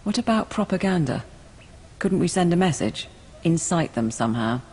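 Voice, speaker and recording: An actor playing a brisk, wry intelligence operative in a video game, briefing a commander in a glib, informal way. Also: medium pitch, expressive, a little mellow, slightly rough. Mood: eager. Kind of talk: video game cutscene acting. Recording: music behind.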